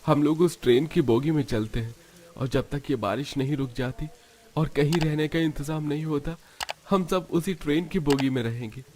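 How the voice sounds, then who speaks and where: Earnest young husband, higher-pitched male voice acted. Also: normal speed, a little mellow, close to an everyday voice. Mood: urgent, concerned. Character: earnest, caring young husband and father in hardship. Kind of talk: Hindi animated family drama voice acting.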